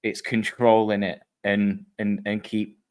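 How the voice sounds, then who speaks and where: A young Manchester-area man with a broad Mancunian, slightly Wigan-flavoured accent, talking in a loose, sweary podcast chat. His voice sits a little low, and he breaks into laughter often. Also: completely flat delivery, measured pace, a little mellow, clear, resonant tone. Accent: Mancunian, Wigan-tinged. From Manchester area, England. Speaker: podcast host. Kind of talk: casual chat.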